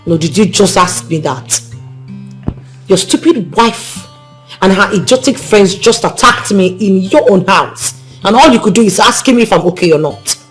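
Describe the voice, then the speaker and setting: A woman's voice: mid-range voice, animated, normal speed, soft background music, close to an everyday voice. An actress playing an insulted young city woman who answers back angrily. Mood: angry. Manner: indignant, sharp retorts. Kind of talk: film drama acting.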